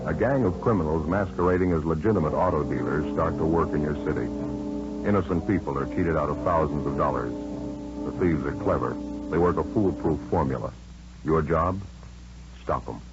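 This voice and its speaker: An actor playing a grave 1950s radio announcer, setting up a crime case in terse, dramatic phrases. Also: male voice, very deep voice, fairly quick. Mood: grave, urgent. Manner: terse, dramatic second-person delivery. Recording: muffled old radio, loud music behind.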